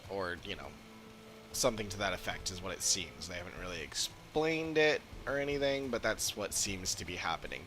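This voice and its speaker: A man giving casual livestream commentary on a game, haltingly searching for words as he talks. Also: sits low, expressive, noisy background. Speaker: game streamer. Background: game music.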